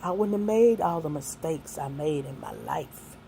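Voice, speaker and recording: A woman's voice: low voice, expressive, moderate pace, gravelly, warm tone, slight background noise. A woman in her sixties, a single mother and grandmother, giving young people earnest, folksy life advice that mixes faith with plain street talk.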